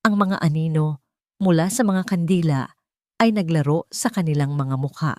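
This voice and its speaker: Slightly low-voiced woman narrating romance drama. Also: normal speed, a little mellow, no echo, studio quality.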